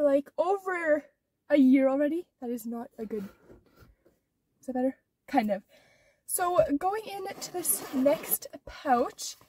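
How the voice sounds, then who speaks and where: Very high-voiced stuffed-up teenage girl. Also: recorded from a distance, rough recording. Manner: rambling, many "like" and "or whatever" fillers. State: stuffed-up nose from allergies. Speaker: vlogger. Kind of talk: casual vlog chat. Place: inside her car.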